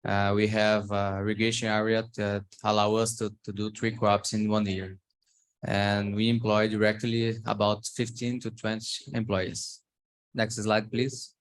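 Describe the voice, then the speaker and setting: Brazilian farmer, male, non-native English, unhurried, lower voice, halting delivery. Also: flat delivery. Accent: Brazilian Portuguese. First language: Portuguese. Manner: halting, searching for words in a learned language. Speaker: farmer presenting. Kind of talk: slide presentation on a call.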